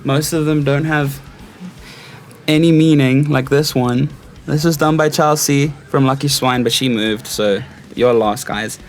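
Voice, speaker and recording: A man's voice: mid-range voice, steady tone, measured pace, slight background noise. Young South African man chatting casually. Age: 20s. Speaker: interview guest, stage crew technician. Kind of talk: casual chat, personal anecdotes.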